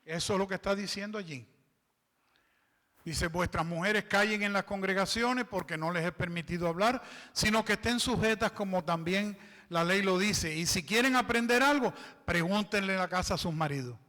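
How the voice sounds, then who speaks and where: A man's voice: high-pitched, moderate pace, sharp tone. A man preaching as a pastor, reading scripture and asking the congregation to fill in the words. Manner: reads scripture aloud and explains it. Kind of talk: sermon. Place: church hall. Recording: long reverb of a church hall.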